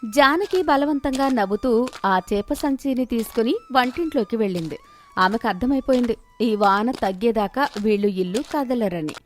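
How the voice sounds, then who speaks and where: A woman reading a scripted moral story as its narrator. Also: a little high.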